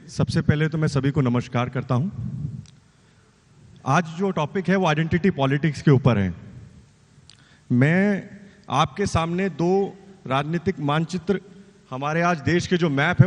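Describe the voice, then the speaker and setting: High-voiced party guy on a debate panel, punchy and rhetorical, hall echo. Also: expressive, unhurried, pauses often. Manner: rhetorical and emphatic, addressing his opponent directly. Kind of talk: political debate speech. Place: large conference hall on stage. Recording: long hall reverb.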